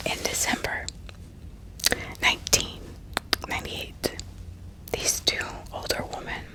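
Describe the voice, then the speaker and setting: A woman narrating true crime in a breathy ASMR whisper, deliberate and often repeating words and phrases. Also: sharp tone, poor audio. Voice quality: breathy, mostly unvoiced whisper. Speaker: ASMR host.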